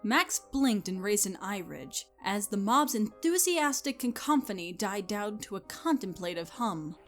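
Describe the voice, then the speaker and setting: A woman giving a scripted, expressive and deliberate dramatic narration of a story. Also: medium pitch, soft background music.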